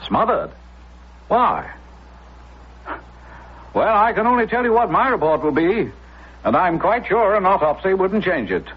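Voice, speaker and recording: An actor playing a firm, evasive male doctor with a husky voice and a clinical manner in a radio drama. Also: high-pitched, big pitch swings, a little slow, long pauses, exaggerated voice. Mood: defensive, clinical. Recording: muffled old radio broadcast.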